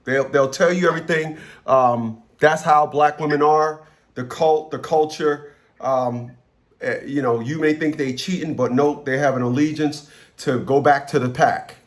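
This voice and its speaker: A man with a somewhat high voice hosts a casual advice consultation. He talks bluntly in colloquial language, often doubling up on words as he makes his point. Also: fairly even intonation, measured pace.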